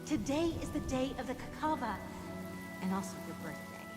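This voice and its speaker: A woman voicing a resolute, protective older sister in a video game, solemn and deliberate. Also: sits high, sing-song. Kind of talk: dramatic video game voice acting. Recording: long echo, loud music and rain. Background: loud game music, rain.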